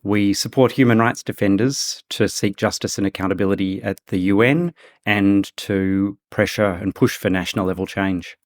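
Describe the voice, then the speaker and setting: A man from Australia, a human rights advocate, giving formal, deliberate interview answers. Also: on the low side, steady tone.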